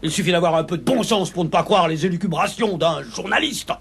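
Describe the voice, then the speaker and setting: A haughty cartoon clubman with a high, piercing bright voice. He is pompous and scornful, leaning hard on his words. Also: a little fast, vocal fry, husky, full character voice. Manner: pompous, emphatic. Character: haughty, scornful gentlemen's club member, challenging a rival. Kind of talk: French cartoon voice acting.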